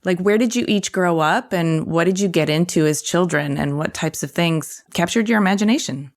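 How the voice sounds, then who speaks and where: A woman hosts a podcast interview in a conversational style. Her voice is a little low, and she comes across as enthusiastic and inquisitive. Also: moderate pace.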